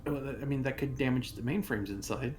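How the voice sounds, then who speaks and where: A man's voice: medium pitch, brisk, soft background music. A man playing a tabletop game, speaking practically and asking rules questions. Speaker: player. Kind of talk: casual tabletop play.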